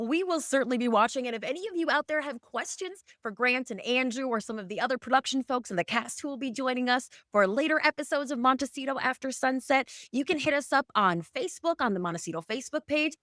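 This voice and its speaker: Woman, podcast host. Slightly high pitch, casual discussion style, no room echo at all. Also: a bit bright, studio quality. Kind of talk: casual discussion of a drama episode.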